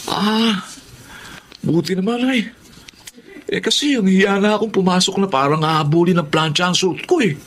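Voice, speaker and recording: Filipino teen son in radio drama, really high voice, whiny and defensive with his mom. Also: moderate pace, pauses often, fairly bright tone. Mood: casual, relieved. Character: defensive, whiny teenage son still in school. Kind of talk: Tagalog radio drama acting.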